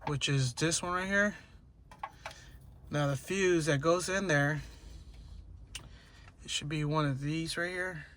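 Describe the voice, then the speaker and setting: A man with a slightly high voice talks through a hands-on repair job as a DIY presenter. He goes step by step, haltingly, repeating himself as he instructs. The amateur recording has clear background noise and clicking. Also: fairly bright tone. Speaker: DIY car tutorial presenter. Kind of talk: hands-on how-to narration, unscripted.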